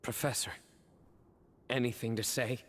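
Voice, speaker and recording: An actor playing a calm, serious young male scholar in a video game, speaking in a measured, matter-of-fact way. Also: mid-range voice, slight background noise, lightly acted. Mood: cool, probing.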